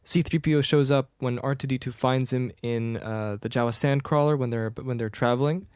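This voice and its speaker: A man co-hosting a podcast, chatting casually and retelling a film in a rambling way, now and then dropping into quick impressions of its characters. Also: medium pitch, fairly even intonation, darkish tone, smooth, no room sound, clean studio sound. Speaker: podcast co-host. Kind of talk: casual chat.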